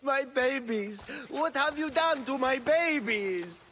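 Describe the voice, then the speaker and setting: A woman voicing a vengeful, grieving snake keeper in a video game, with a shrill creaky voice and drawn-out theatrical outcry. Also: lively intonation, fairly bright tone, slight background noise, heavily acted. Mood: anguished. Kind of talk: dramatic video game acting.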